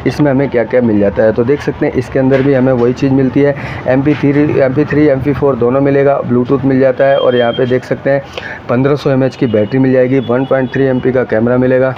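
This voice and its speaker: A man's voice: medium pitch, flat delivery, a little fast, muffled recording, background noise. A man with an Indian accent giving a casual, explanatory phone unboxing narration to viewers, with repetitive patter. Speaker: tech reviewer, vlogger.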